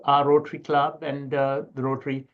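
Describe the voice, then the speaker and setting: A middle-aged man speaks as an interview guest, in a conversational style. His voice sits at a medium pitch, and the sound is a little muffled and lo-fi. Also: completely flat delivery, moderate pace. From Sri Lanka. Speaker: interview guest, university educator and researcher.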